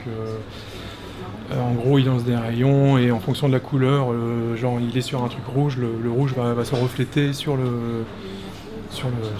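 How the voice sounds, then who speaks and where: A man giving a casual tour of an animation studio, chatty and quick to laugh, with lots of fillers and restarts. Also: medium pitch. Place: animation studio offices, indoors.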